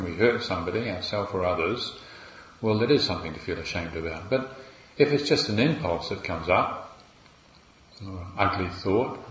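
A man with a New Zealand accent, a Buddhist monk giving a gentle, reflective dharma talk with wry asides. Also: deep voice. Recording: roomy, slightly dull recording with faint ambience.

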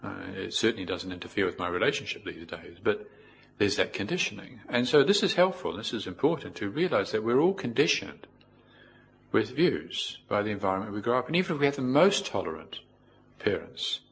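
Low-pitched New Zealand man, reflective. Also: expressive, creaky, fairly bright tone, a little hiss or hum, poor audio. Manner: reflective, measured with pauses. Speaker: Buddhist monk, teacher. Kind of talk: informal spoken dharma talk with personal anecdotes.

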